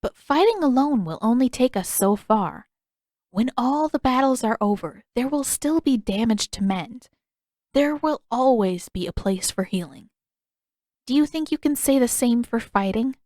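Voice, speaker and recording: A narrator puts on a kindly older woman, a healer in a fantasy story. She speaks in a measured, patient way, calm but gently firm, often questioning. The sound is close and dry. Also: fairly high pitch, dry recording, voice pushed up, lightly acted. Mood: earnest, grave.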